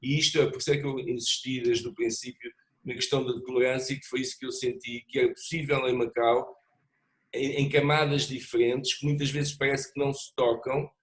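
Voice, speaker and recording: A middle-aged man speaking in a panel discussion, measured and reflective, pausing often and restarting phrases as he builds his points. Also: medium pitch, level tone, poor audio. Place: lives in Macau.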